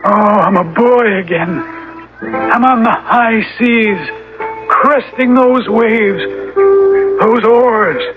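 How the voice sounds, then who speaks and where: Music plays behind a high, strained-up voice in a radio play, a man entranced into feeling like a boy again. He is dreamy and elated, drawing out each rapturous phrase. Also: full character voice. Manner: rapturous, drawn-out exclamations. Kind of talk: radio drama acting. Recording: dull, lo-fi radio broadcast.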